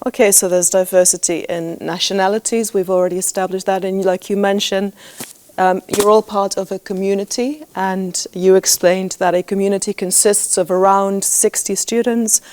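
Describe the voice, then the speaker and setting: Woman, middle pitch, coach, moderating a panel, prompting questions, filler words. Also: steady tone, moderate pace, darkish tone. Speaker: coach hosting a student round table. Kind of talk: moderating a round-table discussion.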